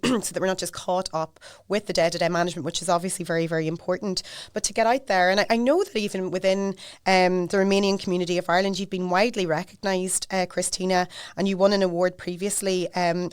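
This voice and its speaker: A woman hosting a podcast interview, asking measured questions with frequent ums and affirming her guest. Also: on the low side, clear, resonant tone, no echo, clean studio sound. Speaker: podcast host.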